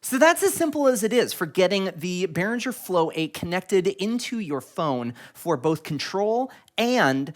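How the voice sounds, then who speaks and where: A man's voice: high-pitched, very animated, unhurried, hardly any pauses. A man hosting an instructional product tutorial, explaining things step by step. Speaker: tutorial video host.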